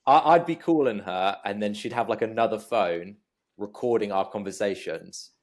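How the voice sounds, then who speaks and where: English male podcast guest. Low pitch, loose casual chat, halting delivery. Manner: hesitant, repeats "like".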